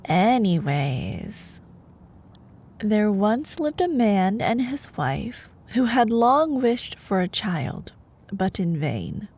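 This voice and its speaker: A young woman playing a sweet, teasing girlfriend in an intimate ASMR roleplay, soft and playful, sometimes dropping toward a breathy whisper. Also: medium pitch, very animated, very slow, exaggerated voice. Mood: mock serious. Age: young adult. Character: sweet, teasing young girlfriend, a sleepy-hours college student. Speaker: ASMR voice performer.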